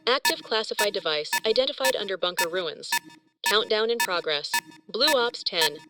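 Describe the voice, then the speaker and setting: A woman's voice: mid-range voice, expressive, normal speed, natural voice, rough recording. A woman playing an official, urgent computer system that makes clipped announcements in an audio drama. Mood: urgent alert. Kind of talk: cinematic audio drama acting. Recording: voice buried under loud music.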